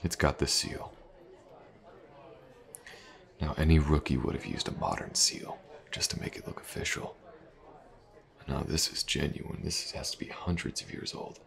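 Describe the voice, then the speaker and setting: A man playing a tough, easygoing mercenary warrior in a fantasy audio roleplay, talking in a laid-back, musing murmur with unhurried pauses. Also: extremely low pitch, steady tone, gravelly, full character voice. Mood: intrigued, wry. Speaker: voice actor. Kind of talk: fantasy audio roleplay, intimate conversational acting.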